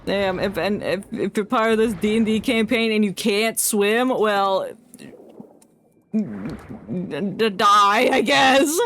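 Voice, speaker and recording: A woman's voice: mid-range voice, animated, takes it slowly, a bit bright, slight background noise. A woman doing casual, playful live game commentary as a streamer, joking along. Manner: playful, jokey. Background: game music.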